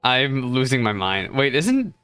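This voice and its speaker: A man's voice: medium pitch, very animated, a little slow, a bit bright, faint music behind. A man giving casual, playfully bemused live commentary as a streamer reacting to a game, in colloquial speech.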